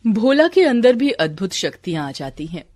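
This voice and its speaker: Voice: mid-range voice, big pitch swings, normal speed, darkish tone, dry recording. A narrator reading a scripted moral story. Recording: clear background noise.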